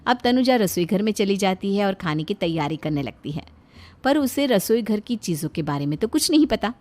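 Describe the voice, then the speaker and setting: Mid-pitched woman telling a story. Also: expressive, normal speed, darkish tone. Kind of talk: scripted story narration.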